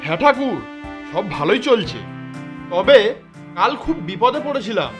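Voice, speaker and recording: A man playing an anxious, excitable village man who frightens easily, in a ghost cartoon. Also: high voice, moderate pace, lo-fi sound, faint music behind. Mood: uneasy.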